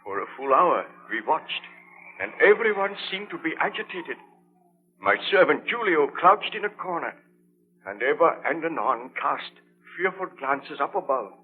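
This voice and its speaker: Obsessed scientist, highish swooping voice, melodramatic, declamatory, old radio play, tinny recording. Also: male voice, big pitch swings, unhurried. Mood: tense, dramatic. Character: obsessed, excitable male baron and scientist. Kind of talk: 1930s radio drama acting.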